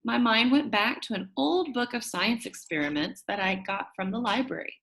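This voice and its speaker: A woman reading a children's book as a shy, quirky, upbeat school kid, chummy and expressive with dramatic emphasis. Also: on the high side, moderate pace, gravelly. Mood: chatty, upbeat. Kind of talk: first-person children's book narration.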